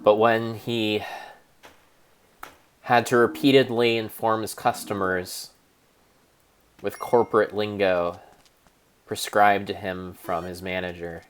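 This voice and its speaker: A man improvising a mock-dramatic narration of a game in a deadpan storytelling manner, often stopping to correct himself. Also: on the low side, clean tone. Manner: deadpan storyteller, halting restarts and self-corrections.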